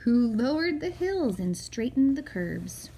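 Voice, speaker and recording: A woman's voice: high voice, sing-song, a little slow, mellow, a little hiss or hum, rough recording. A woman reading a picture book aloud to her young child, with casual asides as she goes.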